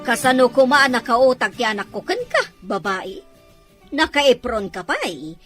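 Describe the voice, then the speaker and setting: A woman's voice: on the high side, big pitch swings, moderate pace, a bit bright, soft background music. A woman playing a haughty, hot-tempered middle-aged mother in a Filipino radio-style drama, scornful and scolding. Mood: haughty, suspicious.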